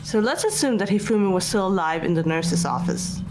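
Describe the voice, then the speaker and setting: A woman in a fan-voiced game playthrough, playing a composed, analytical student who reasons in a measured, matter-of-fact way. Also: medium pitch, expressive, close to an everyday voice. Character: composed, analytical student reasoning coolly through a murder case. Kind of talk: scripted game dialogue read aloud by fans. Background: background music.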